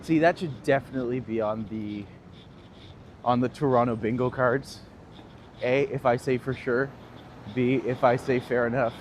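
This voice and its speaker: A man's voice: mid-range voice, steady tone, moderate pace. A man in his thirties with a Northern Ontario accent, chatting and laughing as he answers viewers while live-streaming a walk outdoors. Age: 30s. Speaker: tour guide livestreaming. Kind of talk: casual live-stream chat. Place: outdoors on a city street. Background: faint street traffic.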